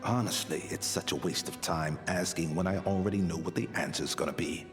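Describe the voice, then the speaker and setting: A man's voice: sits low, fairly quick, over music, close to an everyday voice. A man playing a stern, self-important gate guard in a video game, speaking formally and with condescension. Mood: officious, exasperated.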